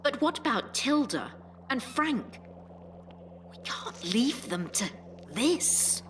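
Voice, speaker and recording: An actress playing a stubborn elderly care home resident who believes in conspiracies, in an audio drama. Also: female voice, high voice, big pitch swings, slow pace, slight background noise, full character voice. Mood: stubborn, anxious. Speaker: voice actor.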